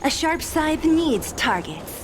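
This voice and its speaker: A woman's voice: big pitch swings, takes it slowly, exaggerated voice. A woman playing a cocky, playful young female fighter in a video game, calling out battle lines. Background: loud battle music with booming effects.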